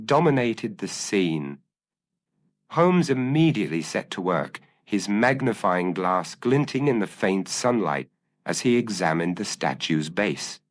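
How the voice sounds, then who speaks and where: A man reading scripted narration of a gothic mystery story. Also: low voice.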